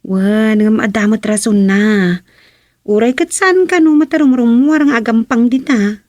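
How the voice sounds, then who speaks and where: An actress plays a young wife in an Ilocano radio comedy drama. She has a high, closely recorded voice and a playful, coaxing way of questioning. Her accent is Filipino Ilocano. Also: a little slow, a little mellow, no room sound, studio quality, close to an everyday voice. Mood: reasoning.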